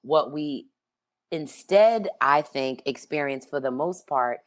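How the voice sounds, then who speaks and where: A woman in her fifties from Philadelphia speaks in an unusually deep, low voice. She hosts a podcast and talks through her memories casually, filling pauses with ums and asking "right?" along the way. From Philadelphia, United States. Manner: conversational, many ums and rhetorical "right?". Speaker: podcast host. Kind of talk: reflective personal talk.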